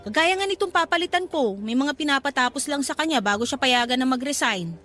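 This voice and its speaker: A woman's voice: high voice, expressive, quick pace, a bit bright, faint music behind. A woman playing a gossipy, pragmatic young shop co-worker in a radio drama, talking in a sassy, slangy way. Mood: chatty.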